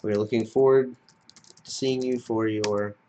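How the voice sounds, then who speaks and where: Low-pitched man narrating a software tutorial. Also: fairly even intonation, unhurried, slight background noise. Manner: instructional, plain-spoken, explanatory. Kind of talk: step-by-step screencast tutorial narration.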